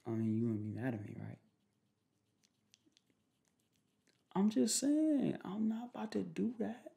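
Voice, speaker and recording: A young man playing a protective, jealous boyfriend in an ASMR roleplay, talking in soft, slangy, repetitive, teasing phrases. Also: high voice, creaky, voice pushed up, heavily acted. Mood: coaxing, playful. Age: young adult. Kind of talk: ASMR boyfriend roleplay, improvised casual chat. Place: small room at home, indoors.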